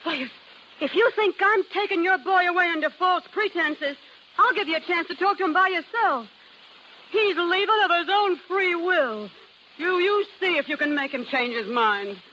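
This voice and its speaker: Brassy, tough young carnival dame. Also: female voice, extremely high pitch, normal speed, pushed and bright, dull audio. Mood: insistent. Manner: slangy, brassy. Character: tough, worldly carnival girl, defiant and in love. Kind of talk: 1930s radio drama acting. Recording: noisy old 1930s radio recording.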